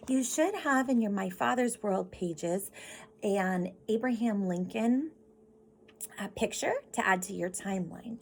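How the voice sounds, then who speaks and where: A woman's voice: mid-range voice, vocal fry, slight background noise. An American woman reads a picture book aloud as a teacher, explaining simply and putting questions directly to children. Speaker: teacher reading to pupils.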